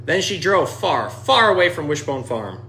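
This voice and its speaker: A man reading a children's picture book aloud in an animated, expressive storytelling style. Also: a little high, moderate pace, rough recording. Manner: expressive, dramatic dialogue readings.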